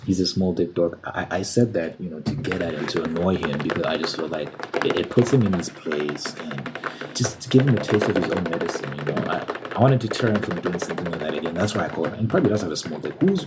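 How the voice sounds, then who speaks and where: A soft-spoken man in an ASMR comedy roleplay, talking in a brash, profane and dismissive way. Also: sits low, fast, very noisy. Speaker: ASMR creator.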